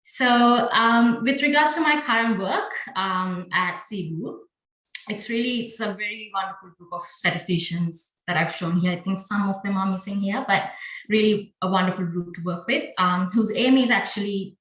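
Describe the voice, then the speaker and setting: A woman's voice: medium pitch, moderate pace, fairly bright tone, roomy sound, rough recording. A young woman from Sri Lanka, a biostatistician, giving a friendly talk with slides and many fillers. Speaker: conference speaker, biostatistician.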